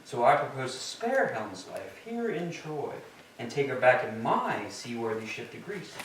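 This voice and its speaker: A man's voice: medium pitch, sing-song, normal speed, natural voice. A man playing a vengeful, commanding ancient Greek king and wronged husband in a staged tragedy reading, declaiming imperiously. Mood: resolved. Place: church, live staged reading. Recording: echoey church, distant mic, faint noise.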